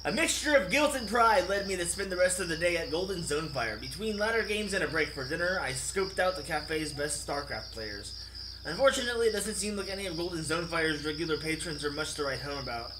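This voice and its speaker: A man hosting a let's play, reading a visual novel aloud as expressive narration and occasionally rereading a line. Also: fairly high pitch, a little fast, a little hiss or hum. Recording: echoey room, music behind. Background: game music.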